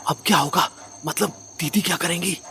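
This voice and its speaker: A man's voice: sits high, fairly quick, pushed and bright. A man playing an uneasy, wry young guest in an audio drama, often muttering as if to himself. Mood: nervous, cheeky.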